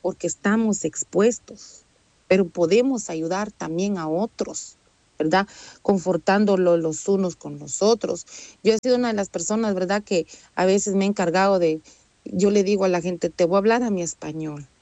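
A woman's voice: mid-range voice, moderate pace, warm tone, no room sound. A woman preaching a sermon in an earnest, conversational way, often adding "amén" and "¿verdad?". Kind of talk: Spanish-language sermon.

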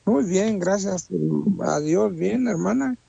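A male radio host speaking live from a studio booth, greeting listeners with church blessings. His voice is heavily gravelly and husky, set a little high for a man. He leans on fillers as he talks. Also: lively intonation, slow, warm tone, no echo, highs cut off. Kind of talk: live radio show hosting. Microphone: studio microphone, talking over a phone line.

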